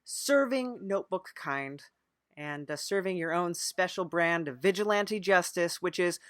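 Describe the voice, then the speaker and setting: A woman's voice: lowish voice, measured pace. A woman hosting a podcast, chatting casually and playfully with a mock-earnest manner. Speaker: podcast host. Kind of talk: casual improvised interview and show chat.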